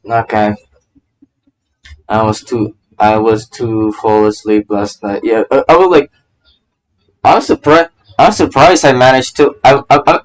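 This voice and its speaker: A man's voice: medium pitch, poor audio. A man doing casual live game commentary, rambling and stammering as he repeats his phrases. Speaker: VTuber game streamer.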